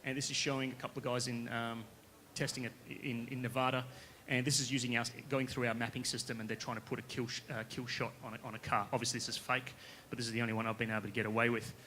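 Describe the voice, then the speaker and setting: A man from Western Australia with an Australian accent, giving a conference pitch as a company founder, casual and conversational, often checking in with the audience. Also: little pitch movement, fast, sharp tone. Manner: casual, conversational, with frequent tag questions. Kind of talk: conference presentation. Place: conference stage.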